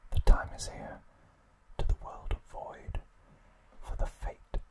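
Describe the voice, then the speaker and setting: A man whispering an ASMR recap narration in short, hushed, unhurried phrases.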